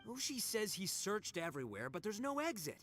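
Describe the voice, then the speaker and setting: A young horned oni gang boss for a video game. His pitch leaps all over the place as he blusters, boisterous, goofy and full of swagger. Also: big pitch swings, fairly quick, a bit bright, faint music behind. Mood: determined.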